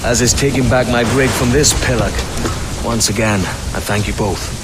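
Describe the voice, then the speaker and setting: An actor playing a stern, level-headed, weary pirate adventurer in a video game, terse and matter-of-fact. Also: male voice, mid-range voice, moderate pace, loud music behind the voice, natural voice. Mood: dry. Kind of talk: video game character acting. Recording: loud ambient noise behind voice.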